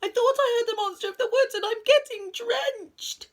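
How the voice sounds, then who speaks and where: A jumpy, excitable rabbit from a storybook, played by a woman, fretful and urgent. His voice is pitched very high and leaps about breathlessly. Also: moderate pace. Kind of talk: children's storybook character voice.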